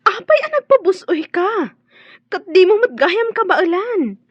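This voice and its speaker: A woman playing a high, blunt young woman. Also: very animated, a little slow, no echo, exaggerated voice. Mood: prodding. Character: young sister-in-law, blunt and prodding. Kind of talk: radio drama acting. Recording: dry muffled studio audio.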